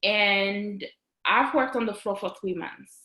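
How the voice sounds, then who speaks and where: A woman in her thirties from Haiti with a Haitian accent. She talks as a guest in a casual interview, chatty and full of fillers, restarts and quick laughs. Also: mid-range voice, pushed and bright. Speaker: guest, registered nurse and business owner. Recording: roomy echo.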